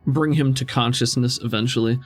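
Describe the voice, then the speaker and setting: A man's voice: mid-range voice, fairly even intonation, brisk. A man narrating a tabletop roleplaying game as game master, conversational and vividly descriptive. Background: faint music.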